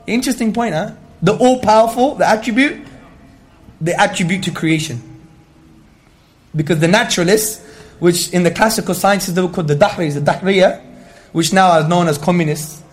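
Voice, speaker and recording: A man giving an Islamic religious talk to an audience, speaking emphatically and rhetorically and peppering his speech with Arabic phrases. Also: sits high. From Greek heritage. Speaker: Islamic lecturer.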